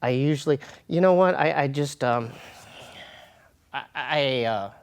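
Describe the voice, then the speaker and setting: A man speaking as a podcast guest in a casual interview, with a candid, animated and slangy way of talking. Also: medium pitch, measured pace, clear, resonant tone. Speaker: podcast guest, comedian and hip-hop artist.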